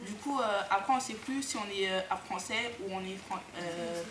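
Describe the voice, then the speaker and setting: A woman speaking casually and haltingly from personal experience at a public discussion, with colloquial words. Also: medium pitch. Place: cinema hall. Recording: echoey, noisy room.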